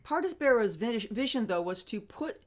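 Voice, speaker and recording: A woman's voice: fairly high pitch, animated, normal speed, a little mellow. A woman hosting a casual interview, speaking in a conversational, encouraging way. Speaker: interviewer, host.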